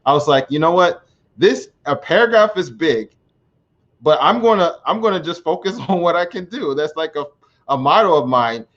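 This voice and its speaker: A man's voice: fairly high pitch, animated, normal speed, a bit bright. Earnest rambling American man on lo-fi stream. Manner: rambling, frequent restarts, earnest. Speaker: language learner vlogger. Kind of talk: casual live stream chat. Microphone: computer mic on live stream.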